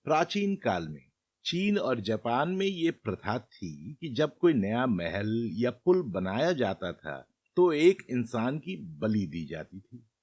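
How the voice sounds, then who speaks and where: A man narrating a scripted fairy tale as a storyteller, in a measured and expressive manner. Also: mid-range voice, no room sound.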